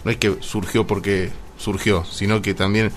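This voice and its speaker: Low-voiced Argentine radio host, noisy background. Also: male voice, little pitch movement. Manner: rambling, halting, repeats words. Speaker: radio host interviewing guests. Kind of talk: casual radio interview. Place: radio show with guests.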